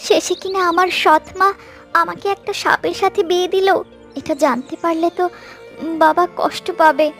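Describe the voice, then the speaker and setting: A gentle, dutiful young daughter from a fairy-tale cartoon. The voice is high and earnest, with the pleading manner of someone long mistreated. Light music sits behind it. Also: female voice, fairly even intonation, moderate pace. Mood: sorrowful, resigned.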